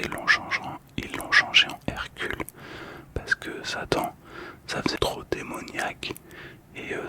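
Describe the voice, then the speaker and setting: A man whispers throughout in a breathy, unvoiced voice. He speaks softly and calmly, in the style of ASMR storytelling, with small hesitations as he goes. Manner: soft, calm, hesitant. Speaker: host of a relaxation video.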